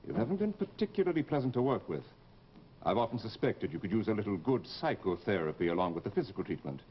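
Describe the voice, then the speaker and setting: A man's voice: lowish voice, big pitch swings, quick pace, slight background noise. Male surgeon, formal and polite, very varied intonation, radio horror drama, muffled vintage recording. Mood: firm, indignant. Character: firm, professional male plastic surgeon, politely probing. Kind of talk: old-time radio horror acting.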